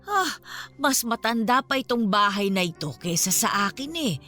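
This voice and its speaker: An elderly woman caretaker in a Filipino radio drama. She is modest, folksy and chatty, with a pitch that swings about as she exclaims. Faint music runs underneath. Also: lively intonation, moderate pace, bright, forceful tone. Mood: chatty, obliging. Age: older.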